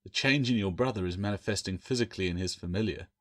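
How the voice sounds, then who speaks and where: A man voicing a grim, wary pirate captain in a fantasy audiobook, measured, ominous and firm. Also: sits low, close to an everyday voice. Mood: grave, wary.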